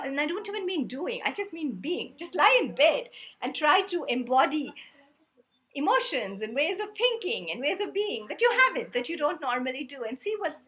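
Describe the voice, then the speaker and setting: A woman's voice: fairly high pitch, bright, forceful tone. A woman, a Tamil speaker, giving an earnest, conversational self-help talk. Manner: earnest, conversational, repetitive lists. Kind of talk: reflective motivational talk.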